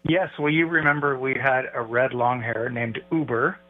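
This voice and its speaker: A man's voice: mid-range voice, steady tone, normal speed. A man calling in as a radio guest, telling stories in a friendly way. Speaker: guest caller. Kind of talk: casual radio interview. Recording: tinny phone line with faint music.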